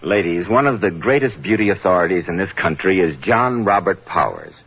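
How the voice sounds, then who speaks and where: A man's voice: deep voice, very animated, a little fast. A man announcing and advertising on 1940s radio with a polished sales delivery. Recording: muffled 1940s radio recording with music. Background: music.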